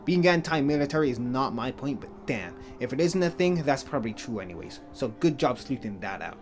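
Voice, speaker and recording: A man's voice: mid-range voice, animated, a little fast, lightly acted. A man playing a cool, evasive young human guy in a comic dub, rambling wryly in casual slang. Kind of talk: comic fan dub voice acting. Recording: music behind the voice.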